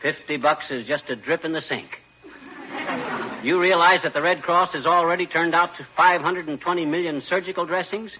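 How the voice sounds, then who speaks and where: An American actor playing a blustering, folksy, self-important husband in 1940s radio comedy, boastful and defensive in slangy speech. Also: male voice, a little high, moderate pace, sharp tone, a little hiss or hum. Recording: dull, lo-fi 1940s radio recording.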